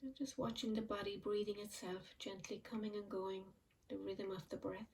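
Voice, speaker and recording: A woman leading a guided mindfulness meditation, speaking slowly and softly with long pauses. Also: mid-range voice, fairly even intonation, gravelly, far from the mic, slight background noise, rough recording. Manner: slow, soft and soothing, with frequent pauses. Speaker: meditation teacher.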